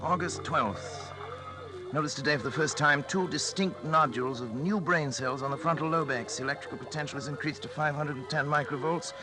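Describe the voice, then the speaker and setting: An actor playing an intense, arrogant, obsessive scientist in a theatrical 1940s radio acting style. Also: male voice, fairly high pitch, fairly quick, natural voice. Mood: brooding, obsessed. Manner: theatrical, urgent, clipped dramatic delivery. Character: obsessive scientist man, feverish, intense, arrogant, narrating his journal. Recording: old radio recording with music behind.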